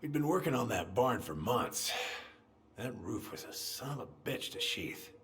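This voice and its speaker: An actor playing a self-deprecating, grieving middle-aged American man in a video game drama, halting and folksy in manner. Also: medium pitch, sing-song, fairly bright tone, roomy sound. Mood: wistful reminiscing. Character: self-deprecating, grieving older man, old family friend.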